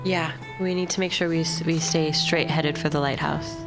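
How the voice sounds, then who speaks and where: A woman with a slightly low voice talks through her moves in a tabletop game. She is casual and slips into roleplay, with guitar music clearly behind her. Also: fairly even intonation, normal speed. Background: plucked guitar music.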